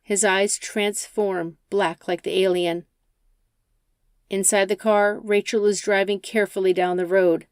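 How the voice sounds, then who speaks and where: A woman's voice: mid-range voice, little pitch movement, unhurried, clear, resonant tone, no room sound, studio quality. A woman reading a scripted story as an audiobook narrator.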